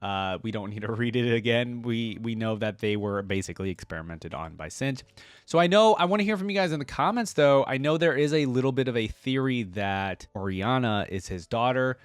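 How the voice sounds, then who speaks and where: A man's voice: medium pitch, expressive, brisk, clean tone, no echo. A man hosting a reaction video, reading game lore aloud and commenting on it in an informal, slangy way. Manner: informal, slangy asides between read passages.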